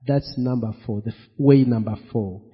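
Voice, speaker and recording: A pastor preaching a sermon, slow and emphatic, repeating his key phrases. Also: mid-range voice, steady tone, breathy edge. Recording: dull, echoey hall.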